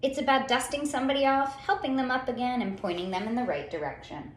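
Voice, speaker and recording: A woman reading a children's story aloud in an expressive, theatrical way, with dramatic pauses and drawn-out words. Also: fairly high pitch, a little hiss or hum. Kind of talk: expressive picture-book storytelling. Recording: roomy, echoey sound.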